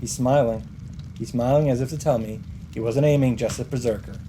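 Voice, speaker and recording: A man's voice: mid-range voice, steady tone, a little fast, dark tone. Man narrating dramatically over noisy game ambience. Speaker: let's player narrator. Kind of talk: dramatic read-aloud of visual novel narration. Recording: game sound and noise behind.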